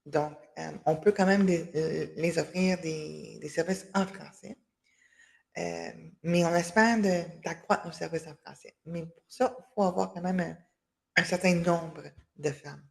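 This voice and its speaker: A woman's voice: on the low side, steady tone, unhurried, gravelly. A woman hosting an online workshop over a video call, speaking with frequent fillers and restarts. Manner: hesitant, many fillers and restarts. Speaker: webinar host for a women's enterprise organization. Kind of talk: closing remarks of an online workshop.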